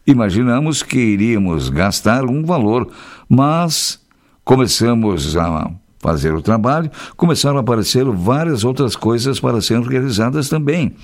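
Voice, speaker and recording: A radio news host, reading local stories and breaking off into folksy, chatty asides. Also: male voice, on the low side. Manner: chatty, folksy commentary between read news items.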